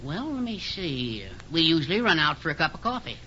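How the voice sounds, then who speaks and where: An actor playing a wry, folksy, wisecracking business partner in a 1940s radio situation comedy. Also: male voice, fairly high pitch, sing-song, brisk. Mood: dry wisecracking. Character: wisecracking, easygoing office partner. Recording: muffled 1940s radio recording, faint noise.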